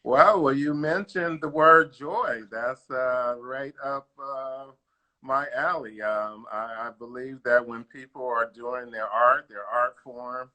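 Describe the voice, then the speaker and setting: Man, host, very bright forceful voice, encouraging, lots of uhs, video call. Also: medium pitch, slow. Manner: encouraging, with many fillers. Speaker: interviewer host. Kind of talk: casual online interview.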